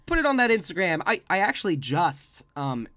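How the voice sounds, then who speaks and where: A man in his twenties co-hosting a podcast, loud and excitable in casual chat, swearing often. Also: high-pitched, brisk, dry recording. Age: 20s. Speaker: podcast co-host.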